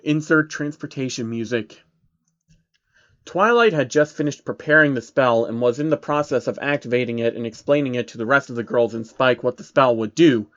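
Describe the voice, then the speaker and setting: A man's voice: mid-range voice, normal speed, a bit bright, lo-fi sound. A man hosting and narrating a comedic fan fiction reading, with sarcastic asides. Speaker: channel host and narrator.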